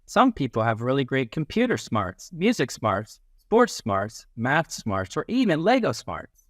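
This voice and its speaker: A man with a mid-pitched voice reads a children's book aloud like a classroom teacher. His delivery is very lively in pitch and instructive, stressing key phrases. The sound is dry and close, with little room to it. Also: measured pace, dry recording. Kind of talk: expressive children's read-aloud.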